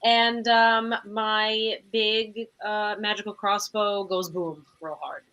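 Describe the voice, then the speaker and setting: A woman's voice: mid-range voice, level tone, takes it slowly, pushed and bright, clean tone, highs cut off. A woman casually and playfully introducing herself as a player in a tabletop game from her couch at home.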